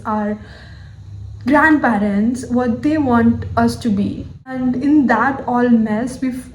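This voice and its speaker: A woman's voice: a little high, a little mellow, echoey room, low-quality recording. A woman in her twenties with an Indian English accent, thinking aloud candidly in a personal video journal, often saying "like" and "you know". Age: 20s. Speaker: vlogger.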